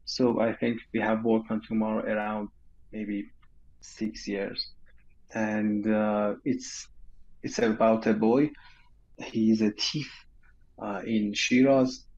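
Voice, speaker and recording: A man from Iran with a Persian accent, answering interview questions haltingly with many fillers and shy laughs, heard over a video call from home. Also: on the low side, level tone, gravelly, darkish tone, dull audio. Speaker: guest animator.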